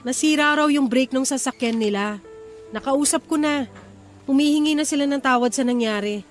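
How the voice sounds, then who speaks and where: A woman playing a calm, reassuring wife and mother who pleads gently in a radio drama. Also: high voice, moderate pace, faint music behind, natural voice. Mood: pleading, soothing.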